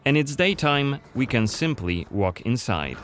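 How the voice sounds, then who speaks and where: A man's voice: medium pitch, big pitch swings, unhurried, heavy background noise. A man presenting a game in scripted voice-over narration. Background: faint music.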